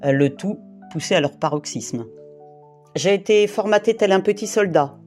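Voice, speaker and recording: A woman in her late fifties or older from the Paris region reads her testimony slowly and gravely, stacking short phrases one by one. Her voice is mellow and dark at an even middle pitch. Soft music plays faintly behind her. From Île-de-France, France. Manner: grave, deliberate, long lists of short phrases. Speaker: interviewee giving testimony. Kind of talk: prepared first-person testimony, read aloud.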